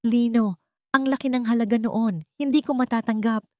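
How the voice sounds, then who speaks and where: Woman as fond, chiding cafe owner, mellow voice. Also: a little high, steady tone, moderate pace, no echo, clean studio sound, pitched above the performer's own voice, close to an everyday voice. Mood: moved, tender. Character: warm-hearted, playfully scolding woman who runs a cafe.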